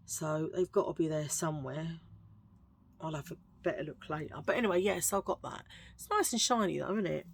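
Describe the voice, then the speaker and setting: A middle-aged woman with a husky low voice, chatting in a rambling, self-mocking way while showing a thrift haul. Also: a little fast, slight background noise. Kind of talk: casual chatty haul video.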